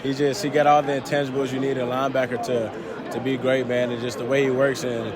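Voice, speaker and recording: A man, a football player, giving a casual interview answer in halting, repetitive phrases. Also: medium pitch, level tone, heavy background noise. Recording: busy crowd behind the voice.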